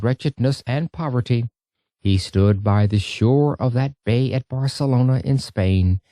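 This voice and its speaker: Mellow male audiobook narrator, lively intonation. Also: medium pitch, dry recording, studio quality. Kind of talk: expressive scripted audiobook narration.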